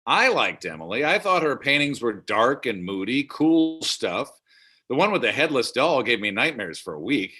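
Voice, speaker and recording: A man's voice: medium pitch, big pitch swings, moderate pace, pushed and bright. A man acting a stubborn, moralizing older father at a comedy table read, prudish and insistent. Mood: measured.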